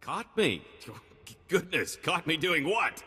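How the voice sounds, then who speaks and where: An actor playing a slippery alien smuggler who passes himself off as a glib merchant in a video game. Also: male voice, on the high side, big pitch swings, takes it slowly, pushed and bright, slightly rough, in a large hall, heavily acted. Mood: feigned innocence. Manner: glib, evasive salesman patter.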